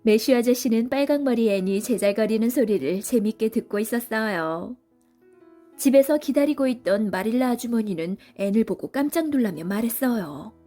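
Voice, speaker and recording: Lady reading a kids' fairy tale, mellow voice, music playing behind her. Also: fairly high pitch, expressive, normal speed. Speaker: storybook narrator. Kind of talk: scripted children's story narration.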